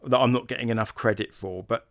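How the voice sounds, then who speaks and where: Male co-host, medium pitch, casual halting delivery with firm opinions, dry close sound. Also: steady tone, fairly bright tone, dry recording. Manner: hesitant, repeats words, opinionated. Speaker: podcast co-host. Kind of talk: casual film discussion chat.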